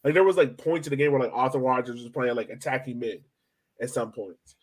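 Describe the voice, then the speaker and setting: A man's voice: medium pitch, a little fast. A man hosting a sports podcast in a casual, chatty way, often rambling, hedging and repeating himself as he goes.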